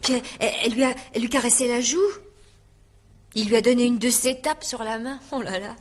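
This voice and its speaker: An actress playing a naive, talkative young housemaid in a radio drama, colloquial, hesitant and then eagerly confiding. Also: female voice, fairly high pitch, fairly even intonation, fast, lightly acted. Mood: eager gossip.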